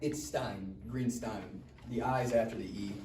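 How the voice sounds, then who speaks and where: An actor playing a cheeky, defiant teenage stoner in a live comedy table read. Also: male voice, on the low side, steady tone, measured pace, warm tone, close to an everyday voice. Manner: cheeky, slangy. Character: smart-mouthed, defiant stoner teenage boy. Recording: echoey room, distant mic, faint noise.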